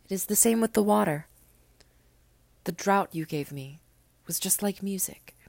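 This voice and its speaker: A woman reading an audiobook, voicing a gentle, grave little boy prince who speaks softly and often in a whisper. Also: medium pitch, measured pace, gravelly, dry recording, pitched above the performer's own voice. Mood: wistful. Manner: soft, wistful, often whispered.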